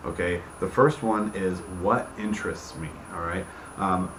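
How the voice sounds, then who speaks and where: A man giving a casual, unscripted explanation to viewers as a video host, halting and rambling, often breaking off mid-thought. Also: low voice. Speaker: tabletop game campaign creator hosting a video channel. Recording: echoey room with clear background noise.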